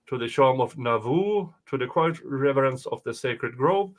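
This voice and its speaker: A man with a bright, forceful voice of average pitch takes the part of co-host. He reads written passages aloud and talks about them in a casual way. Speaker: podcast co-host reading a document aloud. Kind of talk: reading aloud with casual chat.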